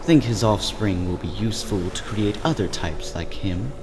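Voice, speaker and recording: A man's voice: fairly low pitch, lively intonation, moderate pace. A man voicing a plain-spoken, curious vampire schemer who casually asks questions in a scripted fantasy drama. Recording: long reverb, clearly noisy background.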